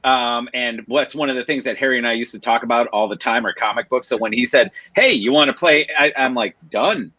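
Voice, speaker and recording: A man, a voice actor guest, talking enthusiastically in fast bursts and repeating himself. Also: medium pitch, sharp tone, no echo, lo-fi sound. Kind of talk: casual podcast interview.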